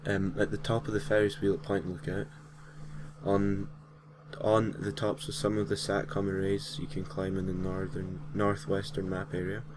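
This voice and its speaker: A man's voice: low-pitched, completely flat delivery, normal speed, noisy background. A man narrating a gaming story in an informal way, reading from a script with casual, slightly hesitant asides. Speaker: host and narrator of a gaming creepypasta series.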